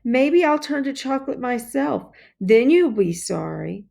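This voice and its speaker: An earnest young schoolboy, acted out by a woman reading a storybook. The voice is raised high and pleads its case insistently. He sounds aggrieved and wants very much to be believed. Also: sing-song, measured pace, creaky, a little mellow, full character voice. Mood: reproachful, defiant. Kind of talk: children's storybook character voice.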